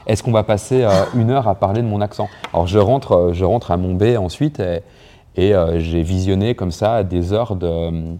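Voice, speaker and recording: Young french man, deep mellow voice, hesitant and halting, interview talk. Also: slight background noise. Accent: neutral Parisian French. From France. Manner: hesitant, many restarts and fillers. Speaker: writer and poet.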